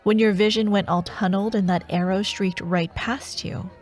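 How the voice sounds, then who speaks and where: A woman playing a menacing dark warlord who haunts nightmares, teasing and taunting in a fantasy ASMR audio roleplay. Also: mid-range voice, fairly even intonation, soft background music, lightly acted. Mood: mocking, sinister. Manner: teasing, taunting, slow and deliberate, slipping toward whispers.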